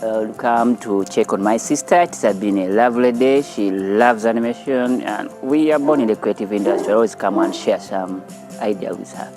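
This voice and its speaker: Low-voiced man over music. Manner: earnest, unhurried, with small restarts. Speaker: animation studio founder and teacher. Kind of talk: documentary interview. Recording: interview over loud background music.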